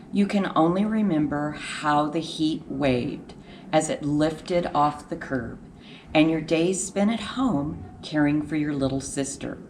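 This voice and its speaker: A woman reading a children's picture book aloud in a measured, expressive storytelling manner with deliberate pauses. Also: deep voice, gravelly, a little hiss or hum.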